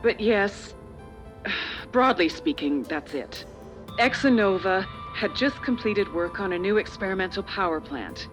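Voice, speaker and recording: A measured middle-aged official played by a woman, persuasive and faintly patronizing, speaks as a calculating authority in a game drama. Music plays behind the voice. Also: medium pitch, expressive, close to an everyday voice. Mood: measured, evasive. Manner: measured, persuasive, slightly condescending. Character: older female corporate official and parent, calculating, persuasive, patronizing. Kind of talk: video game dramatic voice acting.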